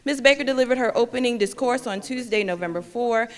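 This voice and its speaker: Brisk woman reading an official report, reverberant. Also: on the high side. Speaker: reader of a government report. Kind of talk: formal scripted document reading. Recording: long reverb.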